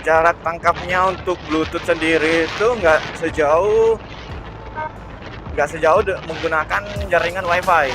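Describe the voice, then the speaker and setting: Higher-voiced man vlogging. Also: fairly even intonation, unhurried, music in the background, poor audio. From Indonesia. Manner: hesitant, repeats and corrects himself. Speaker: motovlogger, host. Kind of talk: casual explainer vlog. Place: on a motorbike, outdoors.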